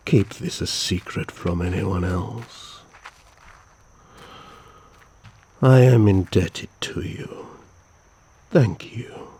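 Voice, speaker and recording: A man playing a courteous but menacing vampire count, narrating his tale and speaking slowly, formally and calmly. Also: deep voice, creaky, breathy edge, heavily acted. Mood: gentle. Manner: slow, formal, calm and politely threatening. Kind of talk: first-person storytelling and audio drama.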